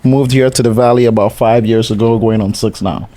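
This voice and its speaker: A man with a Ghanaian accent speaks in a relaxed, conversational way as a podcast guest. His voice sits at a middle pitch, and he talks informally in an interview setting. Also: a little fast.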